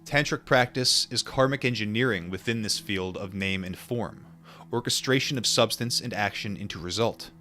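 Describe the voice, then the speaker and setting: Male streamer, lower register, informal and musing, shifting between book reading and reflective chat, light music bed. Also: sharp tone. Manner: musing, informal, with pauses and repetitions. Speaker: streamer reading a book aloud and chatting with viewers. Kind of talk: book read-aloud mixed with casual reflective chat.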